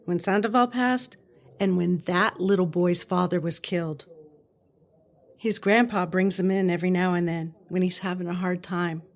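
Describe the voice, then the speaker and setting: A woman plays a police office clerk in an audio drama. Her voice is a little low, and she talks in a candid, matter-of-fact way. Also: fairly even intonation, normal speed, natural voice.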